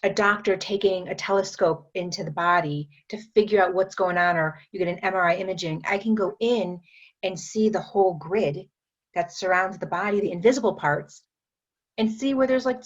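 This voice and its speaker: A woman guest on a podcast interview, explaining her energy work in an unhurried way, with many fillers and restarts. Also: medium pitch, highs cut off. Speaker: guest, metaphysician and energy trainer.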